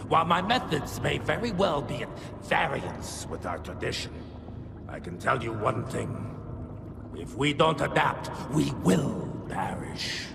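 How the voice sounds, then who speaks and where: An actor playing a scheming, eloquent vampire lord in a video game cutscene, speaking in a theatrical, grandiloquent manner. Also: male voice, medium pitch, sing-song, measured pace, creaky, very noisy, full character voice. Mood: persuasive. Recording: long reverb under loud music.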